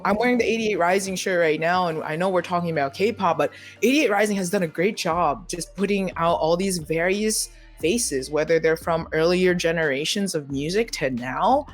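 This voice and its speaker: A young multimedia journalist answers panel questions in a quick, animated way, leaning on fillers like "like" and "you know". The voice sits at a middling pitch and comes through a video call. Faint music plays underneath.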